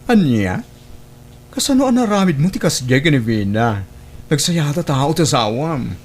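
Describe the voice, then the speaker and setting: A man's voice: a little high, very animated, a little slow. A man with a northern Philippine accent playing a sensible, admonishing friend in an audio drama. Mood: puzzled. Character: concerned, level-headed friend giving frank moral advice.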